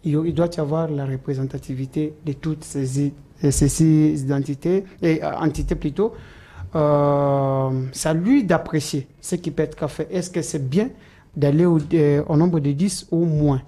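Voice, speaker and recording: A man's voice: on the high side, steady tone, measured pace, warm tone. A man answering interview questions, hesitant and full of "euh" fillers and restarts. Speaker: political supporter interviewed as guest.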